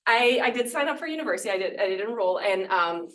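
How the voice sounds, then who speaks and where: A woman's voice: medium pitch, lively intonation, fast, fairly bright tone, recorded from a distance. A woman from northern Belgium whose first language is Dutch, giving a public lecture as a professor in a casual, self-deprecating way with many fillers and small laughs. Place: lecture room.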